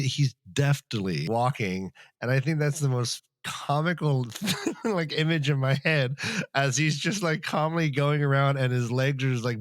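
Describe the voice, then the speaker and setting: A man's voice: medium pitch, no echo. A man co-hosting a podcast, bantering casually and riffing with jokey interjections. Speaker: podcast co-host.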